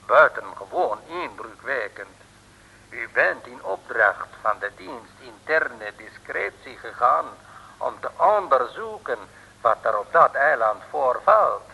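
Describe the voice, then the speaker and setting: A man playing a coldly menacing secret agent in an old radio play, speaking in slow, measured, pompous pronouncements. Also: mid-range voice, creaky, sharp tone, slight background noise, exaggerated voice. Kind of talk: 1950s Dutch radio play acting. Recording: old radio recording with long reverb.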